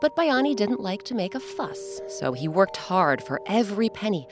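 A woman's voice: mid-range voice, very animated, moderate pace, music in the background. A woman hosting and narrating a children's story, with dramatic, emphatic phrasing. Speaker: host and narrator.

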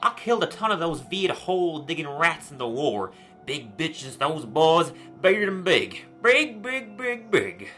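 A man putting on a pompous, sharp-voiced tycoon. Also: a little high, big pitch swings, measured pace, faint music behind. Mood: grandly cheerful. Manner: pompous, theatrical, crude, foul-mouthed. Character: pompous, crude, bitter male biscuit-company heir and war veteran. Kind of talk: darkly comedic radio play acting.

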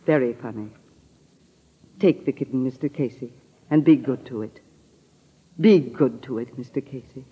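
A grave, husky, haunted radio-drama woman. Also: a bass voice, normal speed, long pauses. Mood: solemn. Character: grave, uneasy woman haunted by her suspicions. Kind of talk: 1940s radio drama acting. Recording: muffled, reverberant old-time radio recording.

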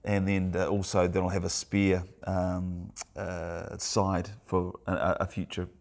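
Casting: very low-voiced New male guest, hesitant, chatty. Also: completely flat delivery, vocal fry, a little mellow. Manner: halting, repeats words, many fillers. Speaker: guest, author and artist. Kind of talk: casual chat.

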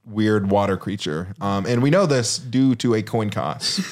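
A man's voice: fairly low pitch, a little slow, noisy background. A young man as a podcast guest, making dry joking asides in casual chat.

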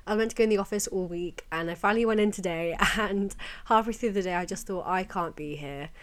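A young British woman hosting a casual, chatty solo podcast, rambling and confiding, her voice a little croaky from a cold and sore throat. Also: medium pitch, fast. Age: young adult. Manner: informal, rambling, confiding, peppered with "like" and "you know". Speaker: podcast host.

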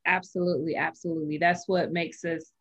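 A woman's voice: low-pitched, flat delivery, fairly quick, fairly bright tone, husky, lo-fi sound. A woman hosting an interview show, upbeat and encouraging toward her guest. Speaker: podcast host.

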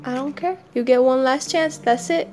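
A woman's voice: sits high, moderate pace, a little mellow, natural voice. A woman voicing a jealous, suspicious crocodile wife in a storybook tale, accusing and bossy. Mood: demanding. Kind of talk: casual storybook character voice.

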